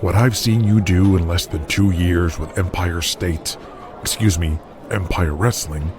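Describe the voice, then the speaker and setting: Audio drama wrestler, acted by a man: deep voice, wary family man, hesitant and plain-talking. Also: measured pace, over music. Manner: hesitant, guarded, plain-spoken. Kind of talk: audio drama character acting.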